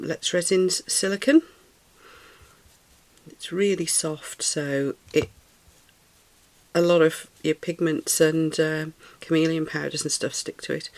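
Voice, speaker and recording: Snuffly British craft tutor, gravelly voice. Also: medium pitch. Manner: chatty and rambling, thinks aloud, coos over materials. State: slightly snuffly, recovering from a cold. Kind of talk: casual hands-on craft tutorial.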